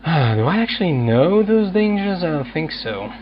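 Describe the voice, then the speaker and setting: A man's voice: on the high side, sing-song, normal speed, highs cut off, slight background noise. A man giving casual let's play commentary, musing and hesitantly thinking aloud. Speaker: gaming video host.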